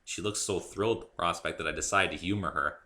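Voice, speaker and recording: A man's voice: sits low, fast, sharp tone. A man reading a first-person story aloud as a dramatic narration. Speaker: amateur reader and author of the story.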